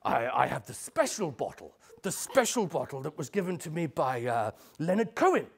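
A man's voice: a little high, very animated, normal speed, gravelly, slight background noise. A man voicing a flustered king and reluctant husband, eager to please, in a told folk tale.

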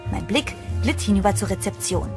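A woman's voice: mid-range voice, expressive, fairly quick, vocal fry. An actress playing an uneasy young woman traveller in a horror audio drama, with an earnest, dramatic delivery. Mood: awed storytelling. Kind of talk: German horror audio drama acting and narration. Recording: voice over prominent music. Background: music.

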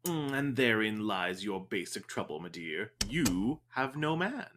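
A man's voice: on the low side, sing-song, unhurried, noisy background. An actor playing a pompous, florid and dreamy male photographer sidekick in a radio detective drama. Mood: grandiose.

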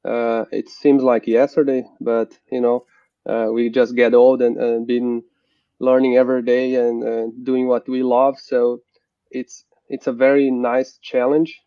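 A man's voice: mid-range voice, flat delivery, no room sound. Brazilian-accented man on a muffled call. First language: Portuguese. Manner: halting, many "uh" restarts. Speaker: company founder and guest. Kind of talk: remote interview. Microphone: video call. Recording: dry dull video-call audio.

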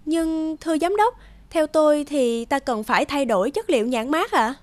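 A young woman voicing a polite, deferential new graduate in an animated series. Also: sits high, moderate pace. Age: young adult. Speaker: new art graduate in a label-cutting job.

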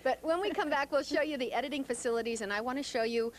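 A woman's voice: high voice, quick pace. A woman from Texas, a television reporter doing a chatty, informal live interview on location. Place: indoors at a cable TV studio and edit room. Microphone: handheld stick microphone. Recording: noisy live TV location sound.

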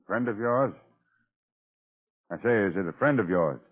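An actor playing a gruff, world-weary police commissioner in a 1930s radio drama, with a husky voice. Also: male voice, on the low side, lively intonation, measured pace. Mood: firm. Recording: dull, lo-fi 1930s radio recording.

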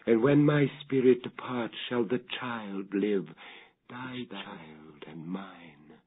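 Man voicing a hushed dying gothic wife, lo-fi. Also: on the low side, breathy edge. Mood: hushed, reproachful. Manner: hushed, slow, portentous, archaic diction. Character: dying gothic wife, solemn, reproachful, prophetic. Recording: dull, lo-fi old radio-like recording.

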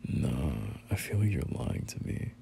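A young man playing a bashful, teasing friend turned boyfriend in an intimate roleplay audio, speaking in halting, murmured slang. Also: a bass voice, animated, creaky, dark tone, slight background noise, full character voice. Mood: stunned, unsure.

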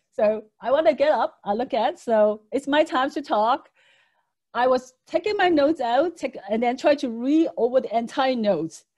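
A woman's voice: on the high side, darkish tone. A woman whose first language is Cantonese, presenting a public speaking lesson over slides in a video call, with earnest, conversational phrasing and frequent fillers. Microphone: webcam microphone on video call.

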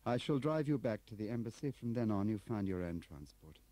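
An urbane British diplomat, voiced by a male audiobook reader, controlled and faintly disdainful. He speaks with cool, firm politeness in a mellow, dark voice, recorded dry. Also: lowish voice, expressive, brisk, no room sound, pitched above the performer's own voice. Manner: quiet, firm, coolly polite.

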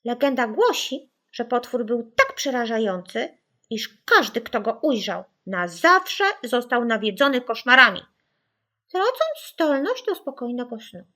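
A woman acts out a mysterious young girl telling spooky legends in a children's audiobook. The voice is raised and swoops dramatically, with words drawn out slowly for suspense. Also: on the high side, sing-song, pushed and bright, full character voice. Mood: eerie, dramatic.

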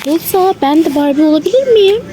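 A woman's voice: extremely high pitch, animated, a little fast, low-quality recording. A woman chatting casually as a mother on a shopping vlog, coaxing her child playfully with lots of questions. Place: inside a busy clothing shop. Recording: store music behind the voice. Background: loud store music.

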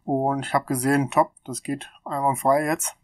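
A man's voice: medium pitch, flat delivery, normal speed, dry recording. A man in his thirties with a stuffy cold, casually explaining technical calculations on his channel, thinking aloud with fillers, recorded on a laptop mic in a small room. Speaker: channel host. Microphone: laptop webcam mic.

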